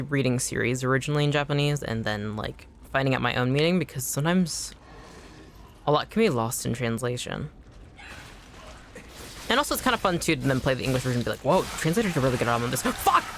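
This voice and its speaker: Casual streamer voice, mid-pitch, chats with chat and swears when startled, desk mic. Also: expressive, a little fast, long pauses, a bit bright, slight background noise. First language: Anishinaabemowin. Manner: chatty, swears and yelps at enemies mid-sentence.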